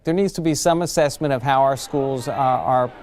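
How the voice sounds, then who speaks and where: A man's voice: mid-range voice, normal speed, darkish tone, no echo, studio quality. An American man speaking as a government education official, giving formal interview statements.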